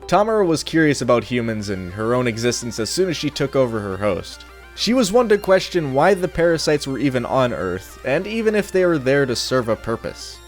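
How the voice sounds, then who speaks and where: A man's voice: medium pitch, sing-song, fairly quick, faint music behind. A young adult man reading a scripted review in a casual, opinionated, sardonic way. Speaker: video reviewer.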